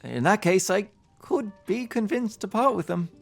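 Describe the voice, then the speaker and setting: Animated, flustered traveling bookseller man acting. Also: sits high, normal speed, gravelly, soft background music, exaggerated voice. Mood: curious. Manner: hesitant, stammering. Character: weary, amiable, easily flustered travelling bookseller. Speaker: actor in a fantasy audio drama. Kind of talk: fantasy podcast acting.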